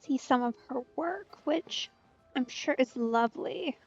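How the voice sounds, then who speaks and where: A woman's voice: high voice, unhurried, creaky, darkish tone, husky. A woman streamer giving chatty, informal commentary as she plays a video game. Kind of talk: casual game let's-play commentary. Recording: slightly dull close mic, game music behind. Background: game music.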